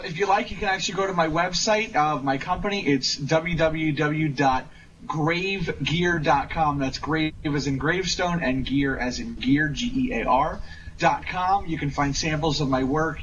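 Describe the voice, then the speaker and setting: A man's voice: a little high, a bit bright. A man speaking casually as an interview guest on a remote call. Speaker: guest performer and sound effects provider. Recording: distant, dull, faintly noisy call.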